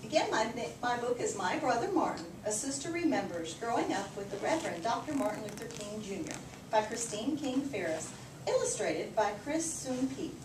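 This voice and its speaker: A woman reading a picture book aloud to children like a teacher, with expressive, emphatic storytelling. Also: a little high, normal speed. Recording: roomy, distant mic, clearly noisy background.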